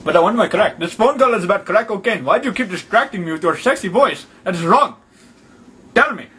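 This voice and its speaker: High-pitched pushy foreign prank caller. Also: male voice, lively intonation, brisk, a bit bright, breathy edge, exaggerated voice. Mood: pleading, amorous. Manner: indignant, repetitive broken English without contractions. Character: pushy, absurd, crude foreign male phone caller. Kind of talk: improvised prank phone call.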